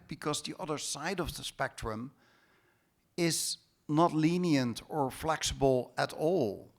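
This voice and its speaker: A man's voice: medium pitch, creaky. A man from the Netherlands with a Dutch accent, speaking as a panelist at a conference with emphatic, sarcastic remarks. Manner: sarcastic, emphatic, with ironic mock quotes. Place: conference hall stage.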